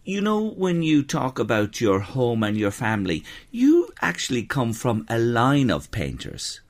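A male radio presenter interviews a guest in the studio. His pitch moves in a lively way as he asks gentle, prompting questions. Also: unhurried.

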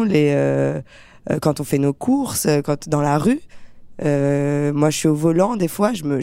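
A young actress from France, talking earnestly in an interview with many fillers and restarts. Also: female voice, low voice, gravelly, warm tone, no echo. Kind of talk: casual interview talk.

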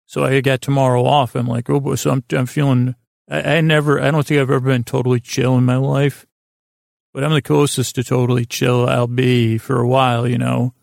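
A man's voice: medium pitch, flat delivery, brisk, creaky, a little mellow, no echo, studio quality. A man hosting a podcast in a rambling bedtime monologue, with a lulling, soothing delivery that keeps hesitating and interrupting itself. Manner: meandering, hesitant, self-interrupting. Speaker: podcast host.

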